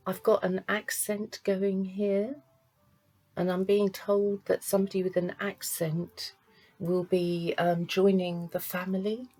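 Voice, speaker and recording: A woman working as a psychic medium, giving a card reading to camera in a halting, searching way. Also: mid-range voice, flat delivery, warm tone, poor audio. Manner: halting, searching, pauses to sense impressions.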